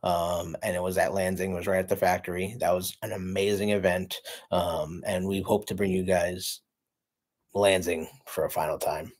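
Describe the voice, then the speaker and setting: Chatty man shouting out live viewers. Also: low voice, little pitch movement, moderate pace. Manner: chatty, friendly shout-outs to viewers, many fillers. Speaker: car channel co-host reading live chat. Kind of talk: casual livestream chat. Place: living room, indoors.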